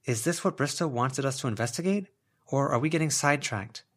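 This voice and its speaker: A man's voice: medium pitch, fairly quick, pitched above the performer's own voice, natural voice. A man voicing an anxious, apologetic young woman with a hesitant, placating manner in an audiobook. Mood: wary. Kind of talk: audiobook character voice.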